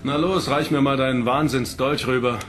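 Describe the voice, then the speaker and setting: A man's voice: mid-range voice, steady tone, moderate pace, a bit bright, faint music behind, natural voice. A man playing a bold, sceptical, defiant prisoner in a sci-fi radio drama. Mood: determined.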